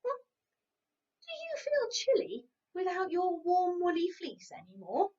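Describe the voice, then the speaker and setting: A curious young farm animal with a bright, high voice, chirping out questions at an unhurried storybook pace, as a woman reader puts her on. She sounds eager and nosy. The recording is distant and lo-fi. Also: huge pitch swings, full character voice. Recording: distant, dull lo-fi.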